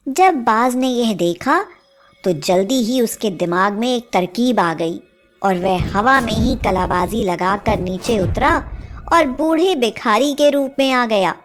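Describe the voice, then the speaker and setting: Woman, scripted storybook narration, unhurried pace, slightly high pitch, music behind. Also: lively intonation.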